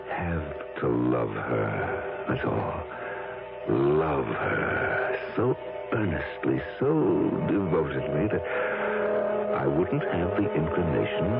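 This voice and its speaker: A troubled, discontented husband in an old radio play. His low voice swings widely, halting and intense. It sounds like a thin vintage broadcast with loud music behind. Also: vocal fry, phone-quality sound, exaggerated voice. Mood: brooding, tense. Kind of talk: 1970s radio drama acting.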